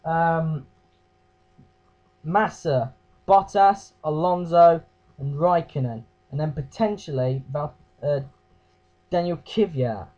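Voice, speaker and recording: A man with a croaky voice giving a casual race recap, hesitant, with fillers and self-corrections. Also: fairly high pitch, slow, pauses often, warm tone, lo-fi sound. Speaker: race review host.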